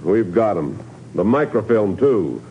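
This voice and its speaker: An actor playing an urgent, exasperated intelligence chief in a 1950s radio drama. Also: male voice, on the low side, very animated, a little slow. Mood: bewildered, exasperated. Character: alarmed, blustering male intelligence chief. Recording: echoey, noisy, muffled old radio recording.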